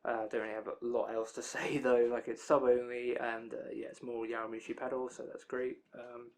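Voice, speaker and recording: A man's voice: medium pitch, level tone, a little fast, a little hiss or hum. A young man with a tired, worn-out voice, rambling casually through an anime release news roundup with loose asides.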